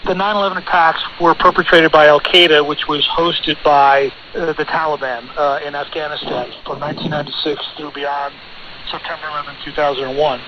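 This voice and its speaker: A man's voice: on the high side, steady tone, a little fast. An American man, a lawyer being interviewed, answering in an assertive, argumentative and insistent manner over a radio relay. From United States. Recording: noisy, dull shortwave radio relay.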